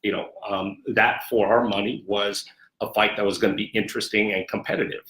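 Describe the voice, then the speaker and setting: A man answering interview questions as a sports executive, conversational and measured, often repeating his phrases in a roomy space. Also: fairly low pitch, steady tone, a bit bright. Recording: echoey, roomy sound.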